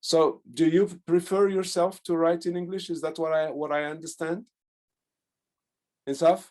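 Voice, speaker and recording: A man's voice: a little high, fairly even intonation, a little mellow. Thoughtful man on a video call. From Algeria. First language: Algerian Arabic (Darija). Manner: thoughtful, pauses and searches for words. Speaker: guest speaker, professor and poet. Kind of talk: answering audience questions in an online talk.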